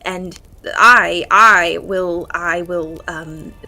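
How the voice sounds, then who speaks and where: A woman's voice: lowish voice, steady tone, drawn-out words, pushed and bright, voice pushed down, heavily acted, rough recording. A woman playing an awkward, anxious male warlock in a fantasy audio drama game, stammering and hesitant but earnest, with music behind. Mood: awkward, eager.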